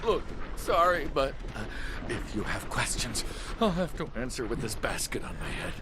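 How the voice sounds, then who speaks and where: An actor playing a jittery, cowardly villager in a video game, muttering and stammering nervously. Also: male voice, mid-range voice, very animated, normal speed, background noise, lightly acted. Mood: relieved, sheepish. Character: jittery, cowardly villager hiding under a basket. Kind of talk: theatrical video game voice acting.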